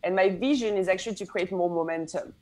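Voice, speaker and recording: A woman's voice: mid-range voice, fast, darkish tone. A young woman from France with a French accent, a branding coach talking as a guest in a podcast interview, upbeat and full of "like" fillers. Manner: chatty, many "like" fillers, upbeat.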